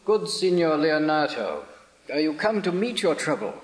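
An actor playing a genial, courtly prince in hearty Shakespearean stage style. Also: male voice, on the high side, very animated, moderate pace. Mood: jovial, courteous. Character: genial, jovial visiting prince. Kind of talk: theatrical Shakespearean stage acting.

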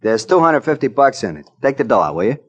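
An actor playing a hurried, street-talking boxer in an old radio drama, speaking in slang. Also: male voice, medium pitch, sing-song, slightly rough. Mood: urgent. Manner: street slang, urgent and secretive. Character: male boxer in his dressing room, hurried, street-talking. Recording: dull 1940s radio recording.

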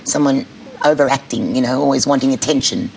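A middle-aged woman with a husky voice, speaking bluntly and candidly as an interview guest. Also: extremely low pitch, fairly quick, a little mellow, slight background noise, rough recording. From Australia. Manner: blunt, candid, laughs easily. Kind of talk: casual chat.

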